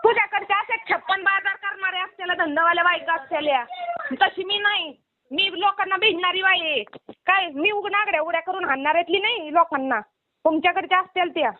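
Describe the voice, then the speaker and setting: A woman's voice: extremely high pitch, brisk. A woman with a rural Maharashtrian Marathi accent, arguing heatedly on the phone in a scolding, taunting, challenging way. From Maharashtra, India. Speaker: caller in a phone quarrel. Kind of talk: heated informal phone argument. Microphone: phone line. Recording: tinny narrowband phone call recording.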